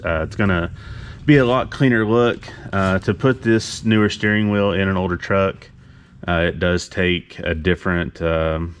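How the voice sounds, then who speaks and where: A laid-back, plain-spoken man talking casually as he shows truck parts for a workshop video update. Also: low voice. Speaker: car builder hosting a shop update. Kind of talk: casual vlog talk while showing truck parts. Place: indoor vehicle workshop garage.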